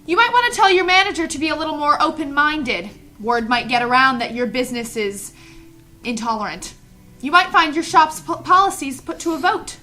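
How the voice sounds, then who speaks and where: A woman's voice: high-pitched, a little fast, sharp tone. A woman playing a strict, self-righteous trainer of children in an audio drama table read, stern and lecturing. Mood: indignant.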